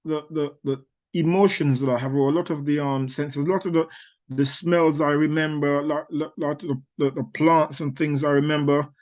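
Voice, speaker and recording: A man's voice: a little high, fairly even intonation, moderate pace, darkish tone. Older Barbados-born man, rambling answers. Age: 60s. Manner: rambling, many restarts and fillers, chuckles. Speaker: author.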